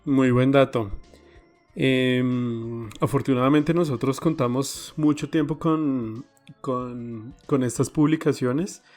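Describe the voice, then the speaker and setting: A man hosts a podcast in a warm, dark-toned voice, telling the story in a loose, halting way. Faint music plays quietly underneath. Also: medium pitch, fairly even intonation. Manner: conversational, halting with fillers. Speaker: podcast host. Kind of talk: casual podcast narration.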